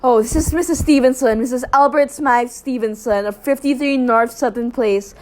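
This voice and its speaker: A high-voiced, frantic wife and invalid, acted by a woman in a radio play. She is imperious and fretful, pressing her demands with agitated, indignant insistence in a melodramatic delivery. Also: moderate pace, a little mellow, lightly acted. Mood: agitated, insistent.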